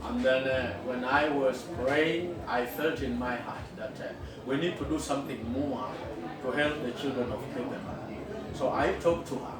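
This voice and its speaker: A man's voice: medium pitch, a crowd in the background. Echoey middle-aged Kenyan man recounting earnestly. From western Kenya. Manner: earnest, unhurried, with frequent fillers and restarts. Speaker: school founder and headmaster. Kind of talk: interview answers, storytelling. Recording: echoey room, far from the mic, with noticeable background noise.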